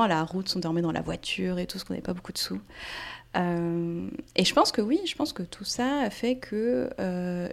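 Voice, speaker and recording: A woman's voice: on the low side, gravelly, a little mellow. A young French woman speaking thoughtfully in a casual interview, hesitating often with fillers and restarts. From France. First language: Portuguese and French. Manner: reflective, many fillers and restarts. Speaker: interview guest.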